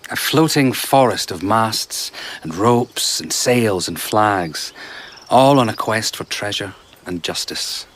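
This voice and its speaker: An actor playing a charming male conjurer and traveller in a radio drama, telling tales in a hushed, showman-like way. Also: lowish voice, animated, slow pace, a little hiss or hum. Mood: theatrical.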